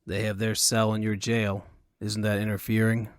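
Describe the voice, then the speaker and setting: A man's voice: fairly low pitch, flat delivery, normal speed, dry recording, close to an everyday voice. A man voicing a blunt, pragmatic hired adventurer in an audiobook, with a dry, terse, impatient manner. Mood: low, persuasive. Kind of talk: audiobook character acting.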